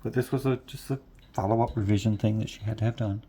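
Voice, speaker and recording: A man's voice: on the low side, steady tone, quick pace, mellow, a little hiss or hum. A congested man chatting casually and rambling, repeating himself, at home at night. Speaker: craft vlogger. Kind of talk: casual chat to camera. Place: home room at night, lamp on.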